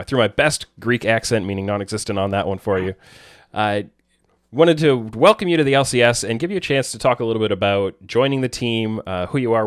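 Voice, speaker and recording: A man's voice: fairly low pitch, dry recording, studio quality. A man hosting an interview, asking loose, friendly questions and giving short acknowledgements. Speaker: interviewer, host.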